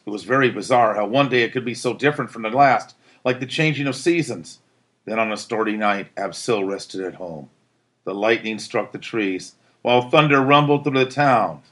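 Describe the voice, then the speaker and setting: Theatrical male storyteller with a bright, forceful voice. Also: fairly low pitch. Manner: theatrical, emphatic delivery. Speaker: teacher reading students' story aloud. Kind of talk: dramatic story narration.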